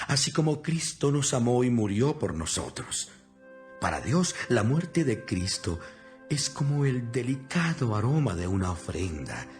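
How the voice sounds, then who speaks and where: A man narrates an audio Bible with a wide, lively swing in intonation. His delivery is earnest and instructive, in the manner of dramatized scripture reading. Music plays clearly behind his mid-pitched voice. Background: background music.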